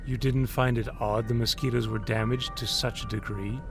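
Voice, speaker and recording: Male actor as cold boss interrogating, measured, music under. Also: mid-range voice, steady tone, creaky, lightly acted. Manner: measured, accusatory. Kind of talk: audio drama acting.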